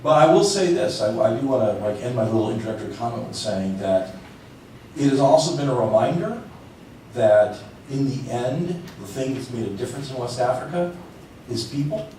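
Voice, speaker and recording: A man's voice: mid-range voice, animated, moderate pace, a little mellow. Panel guy, earnest, kinda reflective, echoey hall, faint room hum. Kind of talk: panel remarks. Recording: echoey hall, voice picked up from far off.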